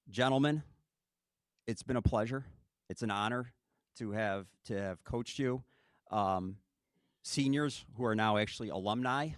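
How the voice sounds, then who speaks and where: A man's voice: medium pitch, no room sound, studio quality. A man, the head coach, giving an earnest speech to his team at a ring ceremony, often checking in with "okay?".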